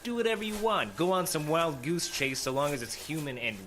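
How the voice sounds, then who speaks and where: A man's voice: on the high side, sing-song, fairly quick, a bit bright, slight background noise. An actor playing a cynical veteran public radio reporter in an audio drama, complaining as he walks through the woods. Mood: grumbling. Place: outdoors in the woods.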